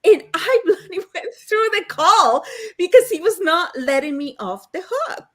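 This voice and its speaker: A woman's voice: very high-pitched, sing-song, measured pace. A woman hosting a casual panel chat on a video call, telling stories with frequent laughs. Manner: chatty, laughing storyteller. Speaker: host.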